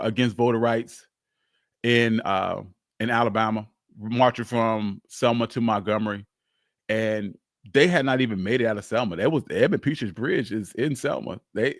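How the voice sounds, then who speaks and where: A man's voice: mid-range voice, no echo, studio quality. A man hosting a live history show, telling stories in an informal, rambling way with plenty of slang. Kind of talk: casual live history storytelling.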